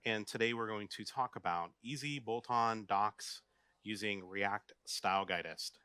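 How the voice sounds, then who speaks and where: A man's voice: on the low side, measured pace, pushed and bright, clean tone, dry recording. Chatty American man giving a tech talk. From United States. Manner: chatty, jokey, asks the audience questions. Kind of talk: casual conference talk. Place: office meeting room, live audience.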